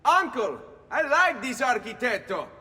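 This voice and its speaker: A man's voice: extremely high pitch, sing-song, unhurried, pushed and bright, heavily acted. An actor playing a confident, easygoing young nobleman with an Italian accent, the hero of a video game. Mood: confident, friendly. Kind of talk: video game cutscene acting. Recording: long reverberant hall.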